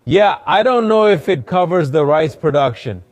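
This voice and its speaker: A man's voice: fairly high pitch, normal speed, no echo. A male travel vlogger talks in an easy, chatty way while waiting at a crowded airport gate. His nose sounds a little stuffed up. A noise-cancelling clip-on mic keeps his voice close and clean. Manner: relaxed, chatty, explaining as he goes. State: a bit congested. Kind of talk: casual travel vlog chat. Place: busy airport terminal. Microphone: wireless clip-on mic with noise cancelling.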